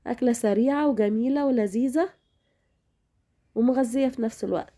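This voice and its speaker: A woman's voice: fairly high pitch, a little fast, mellow. A woman with an Egyptian Arabic accent, hosting a home cooking demonstration in a chatty way, speaking straight to her viewers, her voice a little worn from a recent illness. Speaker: cooking channel host.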